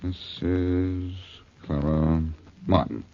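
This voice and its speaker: A man's voice: low voice, fairly even intonation, very slow, gravelly, full character voice. A male actor playing a suave, courteous and upbeat hero in 1940s radio drama style. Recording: noisy muffled 1940s radio recording.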